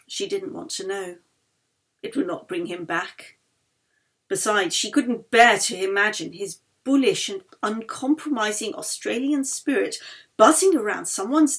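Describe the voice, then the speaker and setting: A woman reading her own novel aloud as an expressive audiobook narrator, with a slightly husky voice. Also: mid-range voice.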